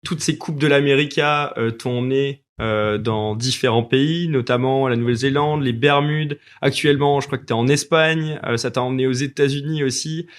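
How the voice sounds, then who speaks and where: A man's voice: mid-range voice, fairly even intonation, moderate pace, hardly any pauses. A man hosting a podcast, asking long, winding questions with fillers. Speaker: podcast host. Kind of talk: interview questions.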